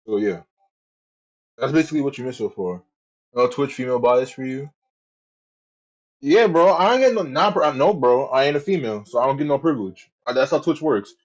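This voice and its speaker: A man's voice: mid-range voice, lively intonation, fairly quick, long pauses. Rambling profane male streamer, lo-fi. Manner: rambling, profane, repeats himself. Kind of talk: casual livestream chat.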